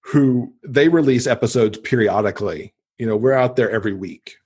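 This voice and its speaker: A man's voice: mid-range voice, normal speed, a little mellow, slightly rough. A man talking casually as a guest in an interview, chatty and enthusiastic about books. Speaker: podcast guest; podcast host and author.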